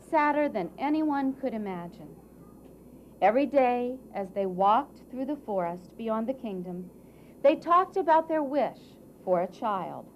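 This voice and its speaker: Higher-voiced woman telling a children's story. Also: a little hiss or hum. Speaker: narrator and presenter of a puppet show. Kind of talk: expressive children's storybook narration.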